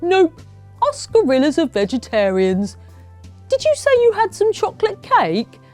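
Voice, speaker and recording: A woman voicing a genial, chatty male gorilla in a children's storybook, with hesitant, drawn-out phrasing. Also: extremely high pitch, wildly swooping pitch, a little mellow, faint music behind, voice pushed up, heavily acted.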